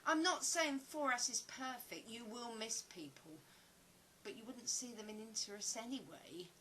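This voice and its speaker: A woman's voice: a little high, normal speed, a bit bright, slight background noise, close to an everyday voice, poor audio. An actress playing an earnest, philosophical woman survivor in an audio play, with reflective, dramatic phrasing. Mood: calm, matter-of-fact.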